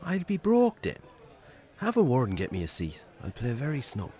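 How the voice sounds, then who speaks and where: An actor with an Irish accent playing a stubborn, wry farmer in a radio drama, dry and offhand. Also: male voice, mid-range voice, wildly swooping pitch, moderate pace, dark tone, faint music behind. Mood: coaxing. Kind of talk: naturalistic radio drama acting.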